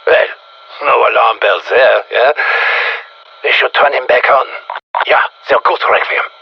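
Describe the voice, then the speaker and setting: An actor playing a sardonic German scientist in a video game, with a German accent and a deadpan, wry manner, heard through a radio filter. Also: male voice, medium pitch, gravelly, slightly rough, dull audio, heavily acted. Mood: droll. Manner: deadpan, wry, theatrical asides with drawn-out words. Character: sardonic male German scientist, dry dark humour. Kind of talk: scripted video game character lines.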